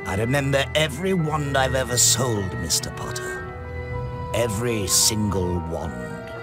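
An actor playing an eerie, courteous old British wand shopkeeper in a video game, speaking deliberately with theatrical pauses. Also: male voice, medium pitch, expressive, close to an everyday voice. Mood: mysterious, ominous. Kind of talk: game cutscene acting. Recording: loud music under the voice.

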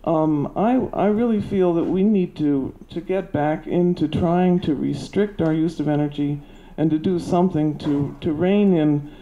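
An older woman with a soft voice giving an earnest, halting public comment at a podium into a handheld mic. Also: low voice, narrow, tinny audio. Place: meeting hall at a podium. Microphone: handheld microphone.

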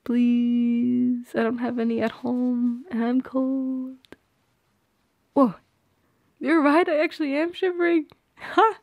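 A woman's voice: high-pitched, steady tone, slow pace, pauses often, no echo, dull audio. A young woman playing a shy, teasing friend with a secret crush in an intimate ASMR roleplay, playful and a little hesitant.

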